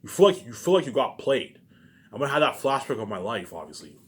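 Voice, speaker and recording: A man's voice: mid-range voice, sing-song, fast talker. A man delivering an unscripted, agitated rant, repetitive and profane, often stumbling over and repeating his words. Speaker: vlogger. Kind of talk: unscripted rambling rant to camera.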